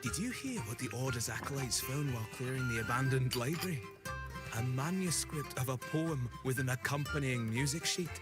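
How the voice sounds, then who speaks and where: A man's voice: medium pitch, a little fast, natural voice. A man playing an eager, gossipy townsman in a fantasy video game. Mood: eager gossip. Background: loud game music.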